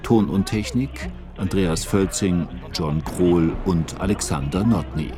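A man's voice: low-pitched, slow, music in the background. A man reading scripted broadcast credits as an announcer, in a matter-of-fact way.

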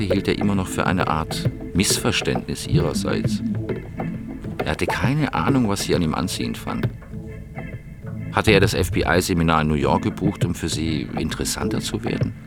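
Male narrator, very deep voice, scripted radio drama storytelling, loud music bed.

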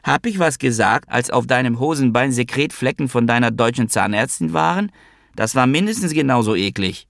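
A man voicing a loud, bitterly jealous older mother who scolds and accuses in emphatic shouts. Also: medium pitch, expressive, moderate pace, vocal fry, no echo, clean studio sound, pitched above the performer's own voice. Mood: indignant.

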